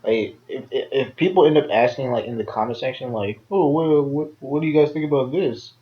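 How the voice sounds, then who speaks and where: A man's voice: medium pitch, fairly quick. A young man co-hosting a casual chat between friends, a bit nasal, rambling with lots of fillers and repeated words. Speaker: podcast co-host. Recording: dull lo-fi home recording.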